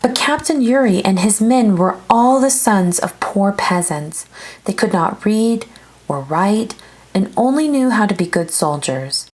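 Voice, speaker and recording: A woman's voice: medium pitch, expressive, measured pace, a little mellow. A woman reading a children's story aloud as narrator, expressively, drawing out some phrases.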